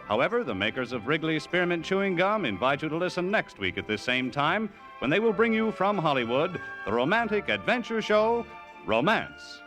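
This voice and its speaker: A man reading announcements in a smooth, polished style as a vintage radio announcer. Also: on the high side, sing-song, normal speed. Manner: smooth, polished, emphatic delivery. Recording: vintage radio broadcast with music.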